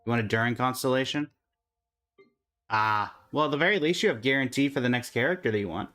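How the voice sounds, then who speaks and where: A man's voice: mid-range voice, fast, fairly bright tone, soft background music. A man livestreaming a game, chatting casually and in a friendly way with viewers while commenting on his play.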